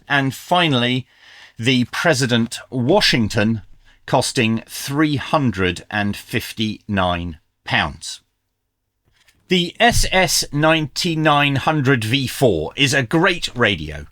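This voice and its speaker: A man's voice: medium pitch, a bit bright, no echo, clean studio sound. A man presenting a product review in a casual, conversational style.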